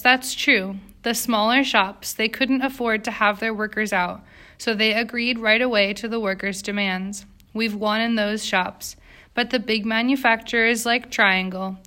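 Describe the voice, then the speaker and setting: A woman's voice: mid-range voice, fairly even intonation, measured pace, bright, forceful tone. A woman voicing an earnest, determined young immigrant factory girl in an audiobook, with precise English. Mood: indignant, determined.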